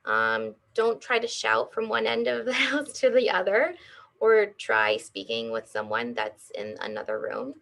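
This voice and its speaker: A woman's voice: fairly high pitch, lively intonation, unhurried, gravelly, a bit bright. A woman in her thirties, an audiologist, giving an educational health talk and explaining things plainly with everyday examples. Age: 30s.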